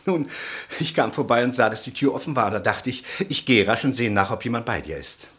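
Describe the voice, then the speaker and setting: A man's voice: medium pitch, animated, a little fast, a bit bright, natural voice. A man playing a breezy, pushy, boastful bon vivant in a German radio play, exuberant, insistent and theatrical.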